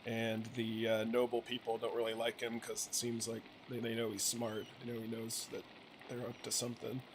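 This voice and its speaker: A man's voice: medium pitch, monotone, normal speed. Man rambling over noisy game ambience. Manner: hesitant, trailing off, thinking aloud. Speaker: gaming channel host. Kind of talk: casual, rambling story recap over gameplay.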